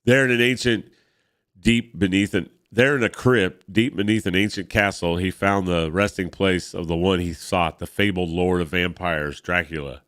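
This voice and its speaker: A British gentleman recounts his great uncle's fall to vampirism with a low, even storyteller's voice, as a congested man reads his lines aloud. The tone is smooth and clear despite the stuffy nose. It sounds like a comic book being read out. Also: normal speed, dry recording, clean studio sound. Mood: grave.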